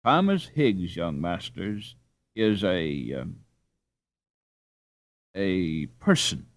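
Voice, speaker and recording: A man voicing a humble, earnest Dutch peasant father in a storybook, halting and deferential. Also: low-pitched, big pitch swings, voice pushed down, exaggerated voice.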